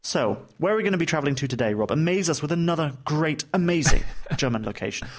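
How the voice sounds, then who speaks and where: A man's voice: on the high side, expressive, fast talker. Joking male podcast co-host. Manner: joking, laughs easily. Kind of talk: casual chat.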